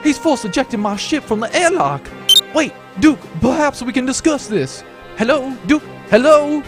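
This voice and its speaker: A man's voice: very high-pitched, lively intonation, moderate pace, over music. A man playing a pompous, declamatory space adventurer in a comic sci-fi audio drama. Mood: alarmed.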